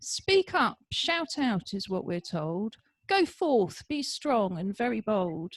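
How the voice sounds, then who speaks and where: A woman's voice: mid-range voice, very animated, slow pace, dry recording. A woman introducing and then reading her rhyming poem over a video call, chatty then rhythmic. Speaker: poet reading.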